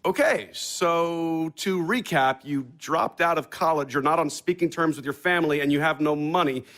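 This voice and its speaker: A man's voice: a little high, moderate pace, fairly bright tone. An actor playing a sardonic, blunt young man in an audio play, mocking and profane in his speech. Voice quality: cutting, profane, sarcastic.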